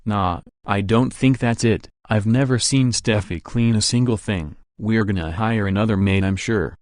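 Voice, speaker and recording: A man plays a cocky young rich heir in a visual novel. His voice sits very low, and he talks in a casual, offhand way. It is recorded close and dry. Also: moderate pace, a little mellow, no room sound, lightly acted. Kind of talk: visual novel voice acting, scripted dialogue.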